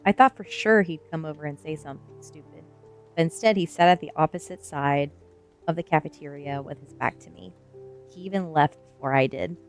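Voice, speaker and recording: A woman's voice: low voice, a little fast, soft background music. A woman reading a first-person novel aloud as ASMR storytelling.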